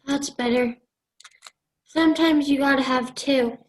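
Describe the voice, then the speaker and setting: A woman's voice: high voice, steady tone, measured pace. A teenage woman playing a weary, plaintive military commander in a radio play. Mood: weary, commanding. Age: late teens. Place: home room on a video call.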